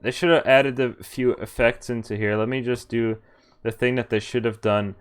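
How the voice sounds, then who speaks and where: A man's voice: medium pitch, steady tone, normal speed, clear, resonant tone. A man giving casual, chatty livestream commentary on a visual novel, breaking off into asides. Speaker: game streamer.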